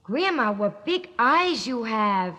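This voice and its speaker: A woman's voice: on the high side, very animated, slow pace, bright, forceful tone, pitched below the performer's own voice, heavily acted. A cheerful, trusting young girl, played by a woman, speaks sweetly and innocently in a slightly raised voice. The storybook recording has hall reverb. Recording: echoey recording.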